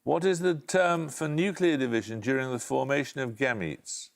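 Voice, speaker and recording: A man hosting a televised quiz, reading questions and calling answers in a brisk, authoritative way. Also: mid-range voice, vocal fry. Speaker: quiz show host.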